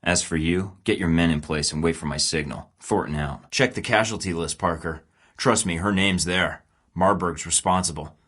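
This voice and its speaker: Sarcastic game spy, really deep voice, dry and clipped. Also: male voice, moderate pace, fairly bright tone, slightly rough. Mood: defiant. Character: tough sarcastic male field agent. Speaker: video game protagonist, a spy. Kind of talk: game voice lines.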